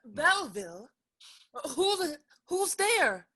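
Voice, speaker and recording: A woman's voice: high voice, big pitch swings, slow, bright, forceful tone, heavily acted. A woman acting an anxious young lady in a theatrical period comedy, fretful and exclaiming. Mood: nervous. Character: anxious young lady sneaking out to her lover.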